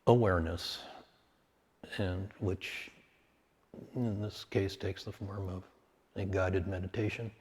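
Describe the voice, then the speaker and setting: A man leading a guided meditation, speaking calmly and in measured short phrases with long pauses between them. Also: low voice.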